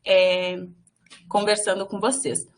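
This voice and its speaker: A woman interpreter giving live voice to a signed lecture, speaking in halting phrases with frequent fillers and restarts. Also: mid-range voice, level tone. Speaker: sign language voice interpreter for a workshop.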